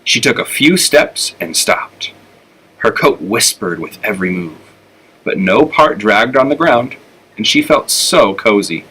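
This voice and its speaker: A man's voice: on the low side, lively intonation, unhurried, pushed and bright, breathy edge, low-quality recording. A man with an American accent narrating a children's storybook aloud. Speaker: elementary school teacher reading aloud.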